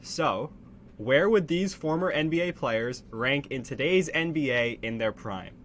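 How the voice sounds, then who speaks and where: Young male sports co-host, congested nasal voice, mid pitch, faint noise behind. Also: expressive, measured pace, fairly bright tone. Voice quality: stuffy, nasal. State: congested, getting over a cold. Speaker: podcast co-host. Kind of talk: casual sports debate.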